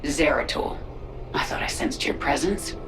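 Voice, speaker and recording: A woman's voice: low voice, a little slow, over music. An actress playing a cold, commanding alien swarm queen in a video game, menacing and curt, with an echoing effect on her voice. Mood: cold, threatening.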